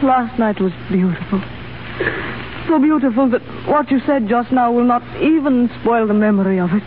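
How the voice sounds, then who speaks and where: An actress playing an earnest young Russian woman in a 1930s radio drama, speaking softly and formally. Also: on the high side, normal speed, lightly acted. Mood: tender. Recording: tinny hissy 1930s radio broadcast.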